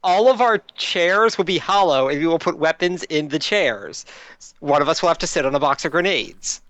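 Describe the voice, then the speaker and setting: A man playing a tabletop game, chatting casually with deadpan jokes. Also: on the high side, moderate pace, dry recording.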